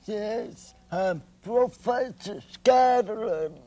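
A man playing an earnest deaf professor on children's television, with imprecisely articulated deaf speech in short, halting, direct phrases. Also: high voice, expressive, dark tone, heavily acted. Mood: earnest, explaining.

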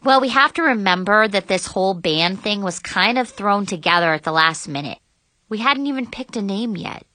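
A woman's voice: mid-range voice, expressive, moderate pace, pushed and bright, no echo. A woman voicing a reassuring, reasonable teenage boy in an audiobook. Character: easygoing, reassuring teenage boy defending his friend.

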